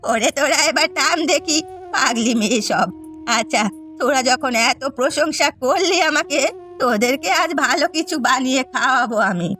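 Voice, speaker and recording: A woman voicing a kindly, doting old village grandmother in a cartoon story, with a drawn-out, soothing manner. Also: high voice, animated, pushed and bright, faint music behind. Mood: fond, playful. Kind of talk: Bengali cartoon story voice acting.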